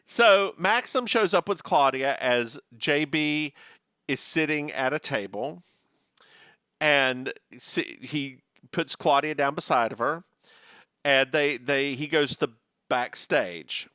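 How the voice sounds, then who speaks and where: A man's voice: fairly high pitch, slow, clear, resonant tone, no echo, studio quality. A man co-hosting a podcast, chatting casually and campily as he recaps a TV episode. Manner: animated, campy, mimics characters. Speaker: podcast co-host.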